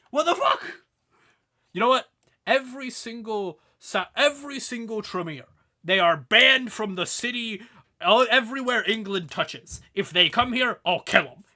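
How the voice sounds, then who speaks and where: Bright, indignant ancient vampire acted by a man. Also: high-pitched, voice pushed up, heavily acted. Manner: blunt, sweary, outraged. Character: ancient male vampire, newly awakened, indignant and vengeful.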